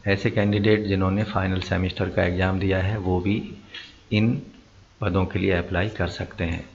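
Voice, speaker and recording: A man with an Indian accent presenting recruitment details in an informational explainer, reading them out in a halting, word-by-word way. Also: deep voice, flat delivery, creaky. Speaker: presenter of job recruitment notices. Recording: muffled lo-fi audio with faint noise.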